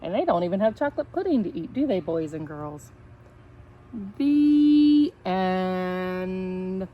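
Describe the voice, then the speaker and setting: Female storytime host, lively pitch swings, unhurried pace, playful asides to young listeners. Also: dull audio. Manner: addresses children directly, playful and dramatic. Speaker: storytime host reading a picture book. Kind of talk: animated read-aloud for children with chatty asides.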